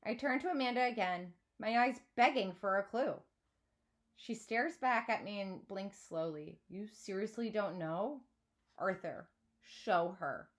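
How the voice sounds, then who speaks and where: A woman reading a children's novel aloud like a teacher, with expressive, dramatised narration. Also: medium pitch.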